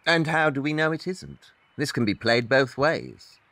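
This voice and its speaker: An actor playing a shrewd, self-assured detective with a clipped, didactic manner in an audio drama. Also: male voice, mid-range voice, sing-song, unhurried, fairly bright tone, no room sound. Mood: explaining.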